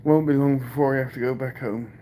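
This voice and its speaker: A man's voice: medium pitch, steady tone, fast talker, dark tone, slight background noise. A British man in his forties hosting a livestream, casually chatting and joking as he reads viewer comments aloud and answers them. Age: 40s. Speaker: livestream host. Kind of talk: casual live chat reading viewer comments.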